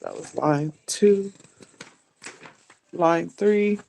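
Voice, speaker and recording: A woman's voice: fairly low pitch, animated, extremely slow, creaky, warm tone, low-quality recording. A woman, a student in a class, reading a religious text aloud line by line.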